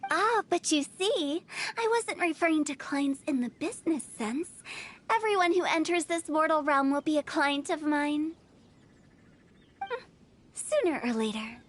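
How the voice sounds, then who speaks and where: A woman's voice: sits high, very animated, unhurried, a bit bright, full character voice. A woman voicing a quirky, playful teenage girl funeral director in a video game, glib and theatrical with a morbid cheer. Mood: playfully morbid. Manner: theatrical, glib sales patter. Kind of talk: animated video game voice acting. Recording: game music behind the voice.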